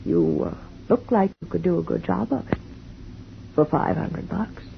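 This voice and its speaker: A quick-witted, wry young writer with a lively, swinging voice. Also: female voice, lowish voice, creaky, full character voice. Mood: sardonic. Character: sharp-tongued young woman pulp writer. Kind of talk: 1950s radio drama acting. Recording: muffled 1950 radio broadcast with faint hiss.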